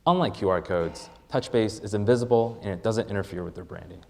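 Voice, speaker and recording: A man presenting a rehearsed product pitch as a startup presenter, with deliberate, emphatic phrasing. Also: vocal fry, a little mellow.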